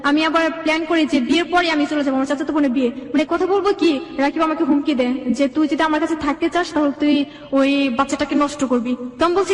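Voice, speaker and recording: A young woman with a Bangladeshi accent speaks in a high voice at a quick clip. She recounts her life in long, rambling sentences as a guest being interviewed. The room rings with heavy reverb around her. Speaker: guest telling own life story. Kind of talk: personal storytelling in an interview.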